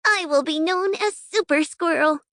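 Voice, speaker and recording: A woman's voice: very high-pitched, normal speed, fairly bright tone, no room sound, clean studio sound, pitched above the performer's own voice. A woman voicing a small, proud male squirrel superhero in a children's bedtime story, boldly declaring.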